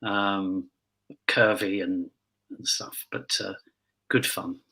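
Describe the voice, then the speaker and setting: Very bright-voiced, chatty rambling man. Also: low voice, fairly even intonation, slow pace. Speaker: pantomime dame performer, panel guest. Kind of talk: casual chat over video call. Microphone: earpiece microphone on a remote call.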